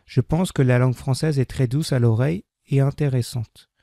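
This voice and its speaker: Creaky-voiced man reading French slowly. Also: medium pitch, warm tone, no echo, clean studio sound. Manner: repeats each sentence, deliberate diction. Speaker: French language teacher. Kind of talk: slow scripted reading for learners.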